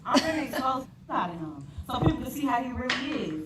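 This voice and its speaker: Woman, ranting, shouting, very high voice, echoey room, loud noise, far from mic. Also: big pitch swings, quick pace. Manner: loud, shouting at times, laughing while angry. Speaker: woman in a viral video. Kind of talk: heated rant to camera. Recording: noisy, echoey phone video from far off.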